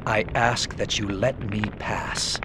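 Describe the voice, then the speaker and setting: An actor playing a stern, honorable ninja in a video game, speaking in a formal, solemn, measured way. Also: male voice, fairly low pitch, fairly even intonation, vocal fry, background noise. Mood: calm, formal. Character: stern, honorable male ninja avenger, calm and grim. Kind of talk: video game cutscene acting.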